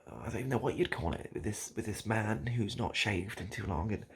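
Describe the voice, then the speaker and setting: A man improvising a comic monologue straight to the listener, halting and repeating himself in a mock-earnest, absurd way. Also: on the low side, animated, vocal fry, slight background noise. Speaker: online video host.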